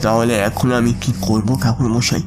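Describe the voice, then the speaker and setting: An actor playing an anxious, deferential householder in an audio ghost story, speaking in a worried manner. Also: male voice, mid-range voice, flat delivery, normal speed, over music, close to an everyday voice. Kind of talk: dramatised audio story dialogue.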